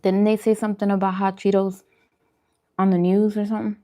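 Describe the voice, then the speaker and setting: A woman's voice: medium pitch, level tone, a little fast, warm tone. A woman hosting a food vlog, chatting casually to viewers in colloquial slang and repeating phrases for emphasis. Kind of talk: casual chat to viewers during a mukbang livestream.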